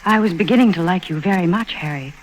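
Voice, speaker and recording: A woman playing a disappointed, gently firm lady with restrained, earnest delivery in 1950s radio drama style. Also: on the low side, quick pace, close to an everyday voice, low-quality recording. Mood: regretful, firm.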